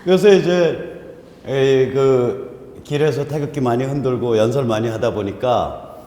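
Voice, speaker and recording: A man's voice: medium pitch, a little slow. Joking man in his fifties, echoey hall. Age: 50s. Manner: joking, self-deprecating, animated. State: congested, strained throat. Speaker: host. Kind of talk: casual political talk show banter. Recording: roomy hall echo, faint noise.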